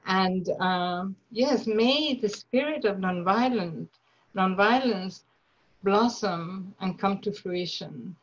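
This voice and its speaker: A woman from Argentina with an Argentine accent, leading an online workshop over a video call in a slow, earnest way. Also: medium pitch. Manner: slow, deliberate, earnest delivery. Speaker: nonviolence trainer. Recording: dull lo-fi online audio.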